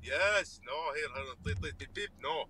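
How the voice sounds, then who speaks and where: A man driving a car who speaks halting English between laughs on a phone video call. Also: fairly high pitch, expressive, pushed and bright, slight background noise. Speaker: driver on video chat. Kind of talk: casual online chat. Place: inside a car.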